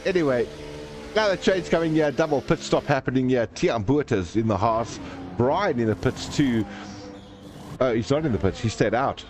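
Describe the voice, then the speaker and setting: A man's voice: mid-range voice, expressive, normal speed, darkish tone, soft background music. A man hosting a live sim racing stream, calling the race and joking with viewers in the chat. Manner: jokey, chatty, reads viewer comments aloud.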